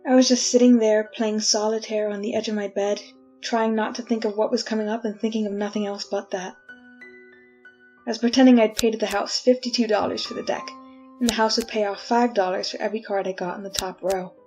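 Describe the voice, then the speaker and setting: A woman's voice: mid-range voice, fairly even intonation, brisk, a little mellow, lightly acted. A woman playing a resigned, wry condemned prisoner in a 1940s-style radio drama, talking in a folksy, confiding way. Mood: wry, brooding. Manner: colloquial, confiding. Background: music.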